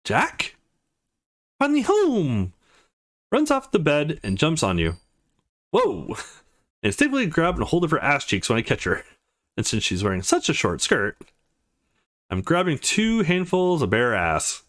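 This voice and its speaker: A man reading a visual novel aloud as a let's play host, in a casual, expressive style. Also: on the high side, normal speed. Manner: expressive, informal.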